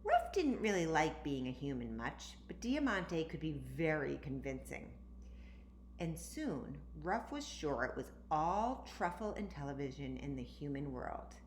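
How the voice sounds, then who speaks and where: A woman reading a children's story aloud with animated, emphatic narration. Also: deep voice, unhurried. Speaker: storytime reader. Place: indoor room, recorded at home. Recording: roomy, echoey, faint background noise.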